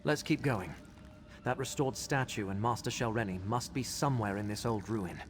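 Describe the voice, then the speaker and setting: A man's voice: fairly low pitch, moderate pace, natural voice. Man playing an earnest adventurer, noisy backdrop. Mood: inquisitive, thoughtful. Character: earnest adventuring companion scholar, protective and inquisitive man. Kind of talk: video game dialogue.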